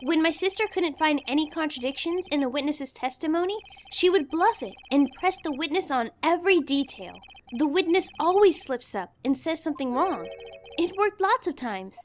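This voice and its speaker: A woman plays a helpful young assistant girl in a courtroom video game. Her voice is very high and girlish, with faint noise behind it. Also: animated, moderate pace. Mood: helpful, explaining. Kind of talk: game fandub character acting.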